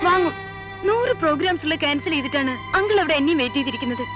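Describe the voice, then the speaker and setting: A woman's voice: sits high, animated, very fast, over music. A young actress playing a headstrong, girlish teenage daughter in a Malayalam film drama, talking insistently. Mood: defiant.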